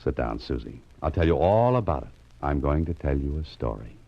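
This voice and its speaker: An actor playing a calm, wry private investigator in 1940s radio style, speaking in a dry, understated manner. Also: male voice, extremely low pitch, fairly quick, creaky, heavily acted. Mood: gentle, amused. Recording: dull 1940s radio recording, music behind.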